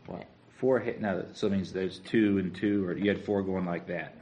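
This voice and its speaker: A man's voice: low voice, fairly even intonation, moderate pace, darkish tone, a little hiss or hum. A man interviewing an elderly couple, asking friendly, curious questions. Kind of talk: oral history interview.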